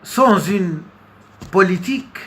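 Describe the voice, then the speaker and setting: Very slow, halting man giving commentary. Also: high-pitched, expressive, fairly bright tone. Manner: halting, hesitant, with long pauses and repeated words. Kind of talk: unscripted political commentary monologue. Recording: noticeable background noise.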